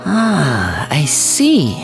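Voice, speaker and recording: A woman's voice: deep voice, wildly swooping pitch, extremely slow, gravelly, full character voice. A woman voicing a suave, thoughtful, courteous youth in an anime game, with polite, considered phrasing. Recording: music playing behind the voice.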